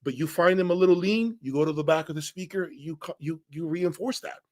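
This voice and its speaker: A man's voice: a little high, fairly quick. A man reviewing audio gear in an informal, opinionated breakdown, speaking bluntly and emphatically and often checking in with the listener.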